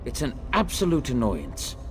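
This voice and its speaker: Man as a grumbling apprentice, animated pitch. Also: normal speed, faint music behind. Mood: annoyed. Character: grumbling, hapless male apprentice gardener in a fantasy world. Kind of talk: video game quest dialogue.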